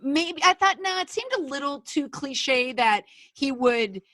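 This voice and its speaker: Middle-aged woman host, lively and laughing, somewhat high voice, crisp bright tone. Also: unhurried, no echo, studio quality. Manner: animated, laughs often, thinks aloud with restarts. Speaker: podcast host, PR commentator. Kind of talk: casual conversational commentary.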